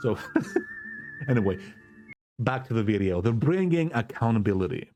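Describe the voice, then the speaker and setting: Fervent Greek Cypriot man preaching slowly. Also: on the low side, lively intonation, mellow, faint music behind. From Cyprus. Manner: fervent and preachy, repeats words for emphasis. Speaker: vlogger. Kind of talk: impassioned political monologue to camera. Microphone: self-recorded camera setup.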